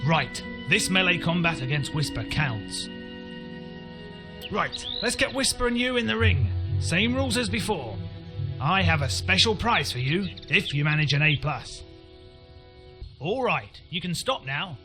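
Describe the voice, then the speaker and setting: Higher-voiced, brisk combat grader. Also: male voice, big pitch swings, over music. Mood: brisk, matter-of-fact. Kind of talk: scripted fantasy game dialogue.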